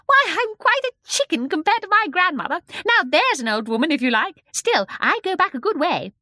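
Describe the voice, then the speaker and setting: A woman voicing a tiny, very old, domineering storybook woman with a high, shrill, squeaky voice. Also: sing-song, moderate pace, sharp tone, no echo, clean studio sound, full character voice. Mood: chatty, merry. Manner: chattering, domineering, exclamatory. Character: tiny ancient shrill woman, fierce then sweet, gleefully eccentric.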